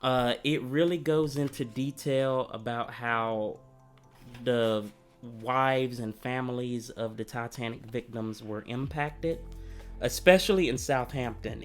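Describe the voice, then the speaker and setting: A man's voice: medium pitch, steady tone, unhurried, faint music behind. A man hosting a history channel, talking in an earnest, conversational way while he comes down with a cold. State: coming down with a cold. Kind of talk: reflective history commentary.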